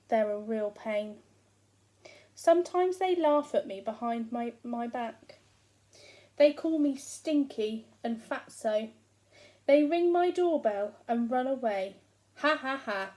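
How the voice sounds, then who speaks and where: A woman's voice: on the high side, dark tone. A woman voicing a huge, hungry, self-pitying giant who complains with sarcastic emphasis as he tells his own storybook tale. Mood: indignant. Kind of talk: first-person storybook narration read aloud.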